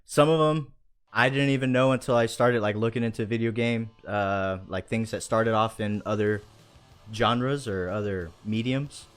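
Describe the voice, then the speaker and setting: A man's voice: fairly low pitch, moderate pace, clear, resonant tone. Enthusiastic rambling man hosting, faint music behind. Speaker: show host. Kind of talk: casual livestream chat.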